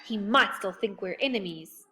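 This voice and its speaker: A woman's voice: mid-range voice, lively intonation, normal speed, sharp tone. A woman reading aloud and voicing a big, kindly father bear with a growly voice in a storybook. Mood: urgent.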